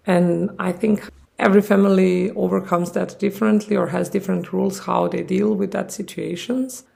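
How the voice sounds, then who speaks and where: Gravelly-voiced woman podcast host. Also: medium pitch, dark tone. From Serbia. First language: Serbian. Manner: thoughtful, unhurried, speaking English as a second language. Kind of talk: casual solo podcast talk.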